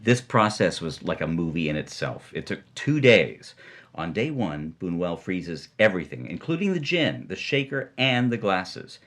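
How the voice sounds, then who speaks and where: Animated male storyteller with lively pitch. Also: moderate pace. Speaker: storytelling podcast co-host.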